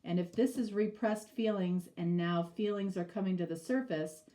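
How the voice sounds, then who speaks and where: A woman's voice: medium pitch, moderate pace, mellow. A middle-aged woman with a stuffy, nasal voice from a cold, giving a casual tarot reading and rambling through many fillers. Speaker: tarot reader.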